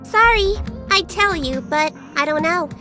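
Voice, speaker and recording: Game fairy sidekick: very high, childlike, swooping pitch, cheeky, blunt, breezy teasing. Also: female voice, lively intonation, unhurried. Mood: casual, coy. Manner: breezy, teasing, casual wording with sharp mood swings. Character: tiny cheeky female fairy companion, blunt and flippant. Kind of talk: video game cutscene voice acting. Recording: music behind the voice.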